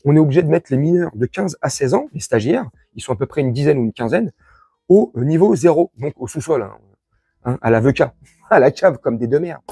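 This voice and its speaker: Husky, animated man ranting in slang. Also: on the high side, brisk, no echo. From France. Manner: crude, slangy, sarcastic, indignant. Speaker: online commentator. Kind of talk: informal opinion rant to followers.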